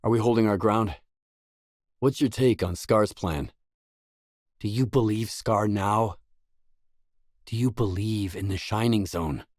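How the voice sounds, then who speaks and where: A man's voice: lowish voice, darkish tone, no room sound, studio quality. A man playing a determined, blunt stalker in a video game, speaking tersely and seriously in matter-of-fact dialogue lines. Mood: businesslike. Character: determined, blunt male stalker adventurer in a grim zone. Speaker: game protagonist.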